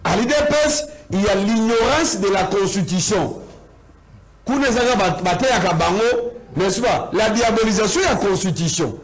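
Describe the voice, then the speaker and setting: A man's voice: high voice, moderate pace, low-quality recording. A man with a Congolese accent explaining his points emphatically as a guest in a political debate.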